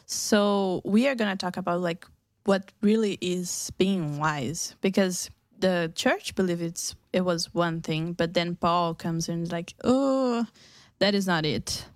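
A woman's voice: on the low side, slow, no echo, clean studio sound. A woman from Brazil with a Brazilian Portuguese accent, hosting a casual faith radio chat, often laughing and restarting her sentences. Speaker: radio show host.

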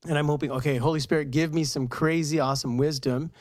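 A woman's voice: low-pitched, quick pace, no room sound, clean studio sound. A woman chatting candidly as a guest on a faith talk show, telling personal stories and acting out what people said. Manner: animated retelling with acted-out quotes. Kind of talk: candid personal storytelling in casual chat.

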